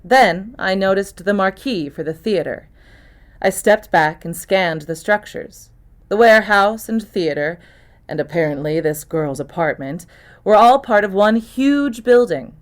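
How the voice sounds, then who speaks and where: A woman reading a first-person mystery novel aloud as an expressive, scripted audiobook narration. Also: mid-range voice, a little slow.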